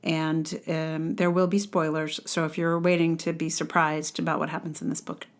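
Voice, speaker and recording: A woman's voice: deep voice, level tone, normal speed. A woman hosting a podcast, reading her own book-review blog aloud in a wry, sardonic way with exaggerated emphasis. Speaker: podcast host.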